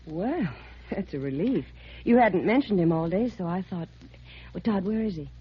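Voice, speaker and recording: A woman's voice: on the low side, animated, normal speed, breathy edge. A woman playing a concerned, affectionate sweetheart with an anxious, coaxing manner in a 1950s American radio drama. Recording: muffled vintage 1950s radio recording.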